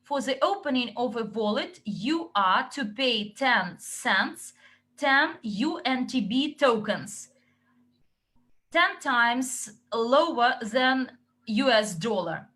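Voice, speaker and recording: A woman interpreting a company update into English, relaying questions and answers in short, halting chunks. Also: fairly high pitch, expressive, sharp tone.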